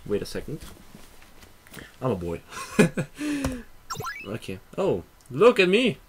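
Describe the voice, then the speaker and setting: Young Austrian gamer host; energetic reactive commentary on a headset, fairly high pitch, quick swearing and laughs. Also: male voice, a little hiss or hum. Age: young adult. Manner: excitable, swearing, laughing, reads game text aloud. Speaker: let's play host. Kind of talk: gaming commentary. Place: small room at a computer. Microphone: headset mic.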